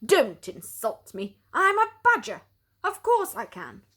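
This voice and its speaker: A woman voicing a polite, proud male badger in a storybook, with formal, old-fashioned gentlemanly phrasing. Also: sits high, huge pitch swings, slow, pitched above the performer's own voice, exaggerated voice. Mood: indignant. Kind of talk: storybook read-aloud character.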